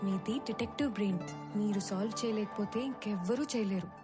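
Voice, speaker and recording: An actress playing a devoted, insistent young wife in a film drama. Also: female voice, mid-range voice, fast talker, close to an everyday voice. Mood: tender, supportive. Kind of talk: Telugu film drama dialogue. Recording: film soundtrack with very loud music.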